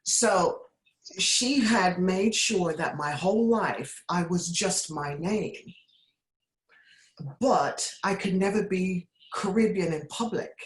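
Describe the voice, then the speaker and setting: A woman's voice: fairly low pitch, slow. A woman from the UK tells candid personal stories as a panelist. Her voice carries a noticeable room echo. She is heard over a video call. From United Kingdom. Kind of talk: panel discussion. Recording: roomy echo.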